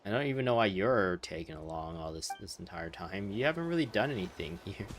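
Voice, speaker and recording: A man's voice: deep voice, lively intonation, brisk, slight background noise. A man giving casual, joking commentary as he plays a game. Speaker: gameplay streamer.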